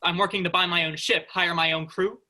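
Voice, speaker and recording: Guy playing sarcastic restless space crewman, really bright sharp voice, pitched high, informal, sci-fi play. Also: steady tone, brisk. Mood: exasperated. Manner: informal, exasperated. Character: restless, sarcastic young male space freighter worker. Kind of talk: virtual staged reading of a sci-fi play.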